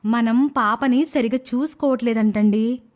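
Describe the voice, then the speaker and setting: Cartoon moral tale: caring young wife and mother, high voice, earnest, quick to exasperation acted by a woman. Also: darkish tone, natural voice. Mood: concerned. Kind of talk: animated moral story dialogue.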